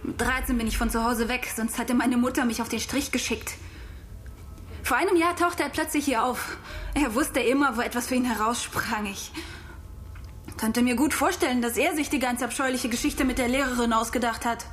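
A woman's voice: a little high, moderate pace, soft background music, lightly acted. A woman acting a bitter, defensive woman with a hard past in a radio crime drama, speaking bluntly.

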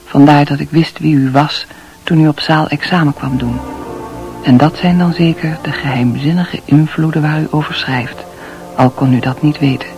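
A woman's voice: deep voice, moderate pace, a little mellow, soft background music. Modest, plain-spoken hospital nurse, a woman. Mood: reserved, friendly. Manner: polite, simple, matter-of-fact. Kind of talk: Dutch radio play acting.

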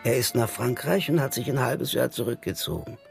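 Sober, mid-pitched man, faint music. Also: expressive, brisk, gravelly, a little mellow. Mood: sober, reflective. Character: sober male informant recounting a comrade's disillusionment. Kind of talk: radio drama dialogue.

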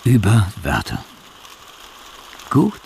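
Deliberate male questioner and narrator for radio drama, creaky, mellow voice. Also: lowish voice, huge pitch swings, a little hiss or hum, exaggerated voice. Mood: musing. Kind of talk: scripted radio feature narration.

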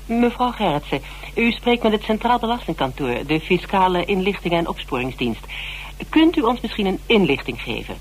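An actress plays a female investigator in a naturalistic radio play. She probes carefully and deliberately in a mid-range voice, pausing to pick her words. Faint hum and noise run under the old broadcast. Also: medium pitch, sing-song, natural voice, low-quality recording. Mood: formal, probing. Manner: deliberate, hesitant, probing. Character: questioning investigator, measured and cautious, sometimes sardonic.

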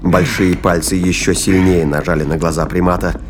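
A man's voice: low-pitched, fairly even intonation, normal speed, background noise. A man reading scripted dramatic narration as the narrator of an audio drama.

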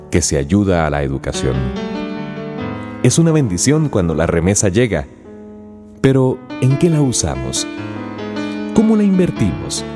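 A man reading scripted narration for a radio drama in a measured, rhetorical manner. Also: fairly low pitch. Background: plucked guitar music.

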